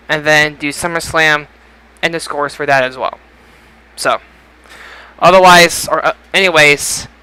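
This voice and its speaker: A man's voice: fairly high pitch, pushed and bright, rough recording. A man hosting a casual podcast, announcing like a showman and stumbling over his words at times. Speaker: podcast host. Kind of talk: casual podcast show intro and chat.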